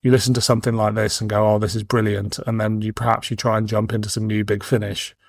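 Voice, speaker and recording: A man's voice: fairly low pitch, flat delivery, quick pace, vocal fry, no room sound, clean studio sound. A man hosting a review podcast in a casual, chatty style. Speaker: podcast host.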